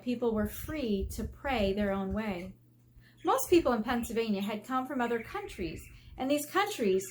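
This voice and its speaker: Slow-paced woman teacher reading aloud. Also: mid-range voice, poor audio. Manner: expressive, asking the listeners questions, emphatic drawn-out key words. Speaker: elementary school teacher. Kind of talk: read-aloud lesson for children. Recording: faint background noise.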